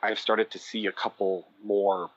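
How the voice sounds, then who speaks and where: A man's voice: on the low side, flat delivery, unhurried, no echo, muffled recording. A man speaking as a podcast guest about producing audio dramas, thoughtful and explanatory, with fillers as he thinks. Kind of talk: conversational podcast interview.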